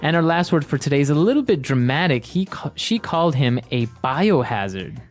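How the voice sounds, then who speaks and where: A man's voice: fairly high pitch, very animated, a little fast, faint music behind. A man co-hosting an English-learning podcast, agreeing with his partner and adding examples in casual chat. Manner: agreeable, affirms and adds examples. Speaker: podcast co-host, English teacher.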